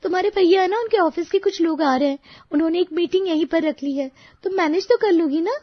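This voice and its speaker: A woman voicing a friendly lady of the house, coaxing and instructing, in an animated moral story. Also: extremely high pitch, quick pace, dull audio, pitched above the performer's own voice.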